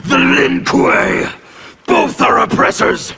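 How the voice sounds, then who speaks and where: Havik, a gravelly menacing zealot. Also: male voice, very high-pitched, animated, takes it slowly, bright, forceful tone, exaggerated voice. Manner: snarling, theatrical, harsh emphatic delivery. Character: menacing male revolutionary zealot, intimidating. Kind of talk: fighting game intro dialogue.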